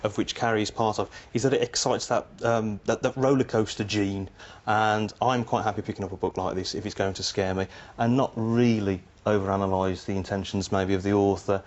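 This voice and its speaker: A man's voice: on the low side, steady tone, fairly quick, few pauses, lo-fi sound. A man on a book panel, discussing fiction in a conversational way.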